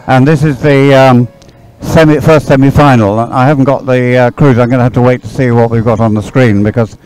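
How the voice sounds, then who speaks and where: Male sports commentator, medium pitch, explanatory and halting, faint music behind him. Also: fairly even intonation, darkish tone, low-quality recording. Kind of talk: live explanatory race commentary.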